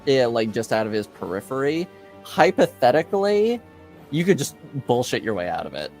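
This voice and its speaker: A man speaking as game master, improvising descriptions for a tabletop game in a hesitant, halting way. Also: medium pitch, very animated, darkish tone, faint music behind.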